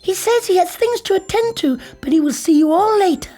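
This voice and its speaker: A man's voice: very high-pitched, brisk, dark tone, faint music behind, voice pushed up. A man voicing a polite, earnest, well-mannered little girl in a fairy tale reading.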